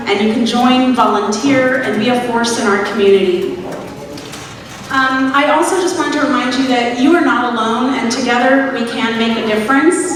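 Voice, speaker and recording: A woman's voice: fairly high pitch, noisy background. A woman from the US South with a Southern accent, speaking earnestly and encouragingly to a crowd at a community event. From Atlanta, US South. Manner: earnest, encouraging, leads the crowd in repeating phrases. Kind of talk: public speech to an audience. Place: large echoey hall, live event. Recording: echoey hall recorded from far off.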